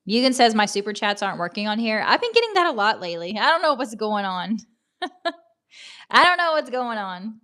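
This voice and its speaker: A woman's voice: a little high, very animated, brisk, bright, forceful tone. A woman in her thirties from Tennessee with a Southern American accent, hosting a casual livestream, chatting, laughing often and greeting viewers by name. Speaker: livestream host. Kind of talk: casual chat reading comments.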